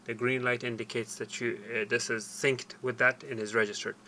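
An older man explaining a technical procedure step by step in a halting, deliberate way. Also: mid-range voice, little pitch movement, pushed and bright, slight background noise. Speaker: tutorial presenter. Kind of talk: hands-on technical tutorial, explanatory. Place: small cluttered indoor workshop.

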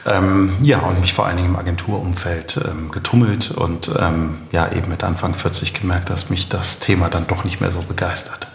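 A man's voice: sits low, steady tone, brisk, vocal fry, few pauses, reverberant, a little hiss or hum. A middle-aged man answering as an interview guest, talking conversationally and searching for his words. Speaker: company founder, interview guest.

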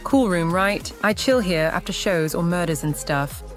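A woman's voice: on the low side, animated, normal speed, faint music behind, close to an everyday voice. A woman voicing a flirty, menacing female wolf animatronic. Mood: sultry. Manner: sultry, teasing and bossy.

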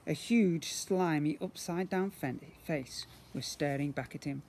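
A man in his fifties reading a story to young children in an expressive way, playing up the sound words, recorded outdoors at arm's length. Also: on the high side, measured pace, a little hiss or hum. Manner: expressive, acting out sound effects. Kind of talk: animated read-aloud storytelling for young children. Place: outdoors, beside brick houses. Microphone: phone or camera at about arm's length.

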